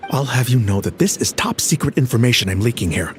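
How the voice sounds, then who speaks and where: Casting: male insider ally played by a man, average pitch, game dialogue over faint music. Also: animated, fast, natural voice. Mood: businesslike. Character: male insider ally leaking secret intelligence. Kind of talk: video game voice acting.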